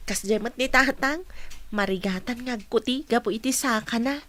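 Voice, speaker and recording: High-voiced helpful younger sister, played by a woman. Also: normal speed, a bit bright, close to an everyday voice. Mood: teasing. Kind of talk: Ilocano radio-style drama acting.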